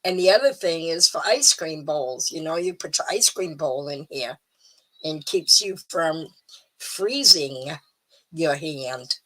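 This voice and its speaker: A middle-aged woman hosting a live stream, chatting casually and rambling with ums, a little hoarse. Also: sits low, animated, slow, pushed and bright, low-quality recording. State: slightly hoarse. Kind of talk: casual chat to viewers.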